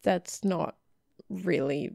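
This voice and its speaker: A woman's voice: fairly low pitch, very slow, gravelly, warm tone, no room sound. A female podcast co-host talking in a normal voice in casual chat, dry, matter-of-fact and opinionated.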